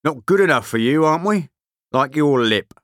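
A man's voice: medium pitch, normal speed, fairly bright tone, no room sound, studio quality, natural voice. A man voicing a big, beefy working-class bully in an audiobook, blunt, growling and aggrieved. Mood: aggressive. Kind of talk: audiobook character voice.

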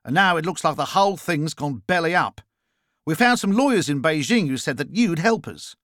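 A man's voice: a little high, animated, normal speed, a bit bright, no room sound, clean studio sound, pitched above the performer's own voice. A man voicing a brash, impatient Australian businesswoman in an audiobook, abrupt and exasperated. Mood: brisk, urgent. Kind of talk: audiobook character dialogue.

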